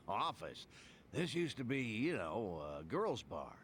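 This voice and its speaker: A man's voice: medium pitch, very animated, slow pace, slight background noise. An actor playing a genial, easygoing older local man in an English video game dub, rambling in a folksy, amused way. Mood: amused, casual.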